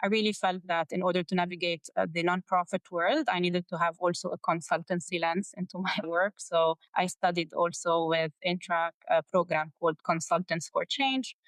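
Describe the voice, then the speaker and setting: Smooth-voiced woman with a Lebanese accent. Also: lowish voice, steady tone, moderate pace, hardly any pauses, no room sound, low-quality recording. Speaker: guest, nonprofit education executive. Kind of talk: interview answer recounting career.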